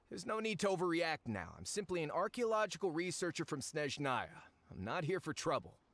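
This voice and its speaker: A smug slick schemer researcher, voice bounces up and down, glib fake polite, anime game. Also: male voice, on the high side, very animated, a little slow, a bit bright, dry recording, full character voice. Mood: glib, placating.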